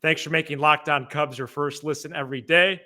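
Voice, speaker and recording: A man's voice: fairly high pitch, steady tone, brisk, pushed and bright. A man co-hosting a sports podcast, speaking in a casual, conversational style.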